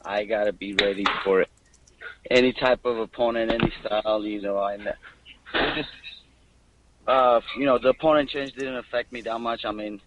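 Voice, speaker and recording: A man's voice: medium pitch, normal speed, lo-fi sound, heavy background noise. A man who fights professionally, giving casual, blunt answers off the cuff on a media conference call.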